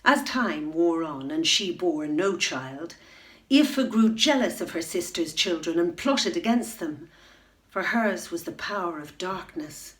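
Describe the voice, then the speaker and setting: A middle-aged woman reading a children's bedtime story, unhurried and expressive, dropping to a hush at tense moments. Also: lowish voice. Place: room at home, indoors. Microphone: webcam facing the reader.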